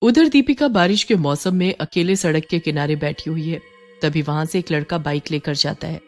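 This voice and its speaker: A woman's voice: sits low, normal speed, a little mellow, faint music behind. A woman reading scripted horror story narration as the narrator.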